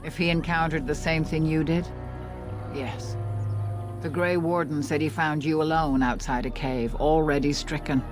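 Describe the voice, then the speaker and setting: A woman playing a grave, commanding older elf healer who leads her clan in a fantasy game, speaking formally and with measured solemnity. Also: sits low, steady tone, close to an everyday voice. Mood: grave, questioning. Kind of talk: fantasy game dialogue acting. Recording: music behind the dialogue. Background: background music.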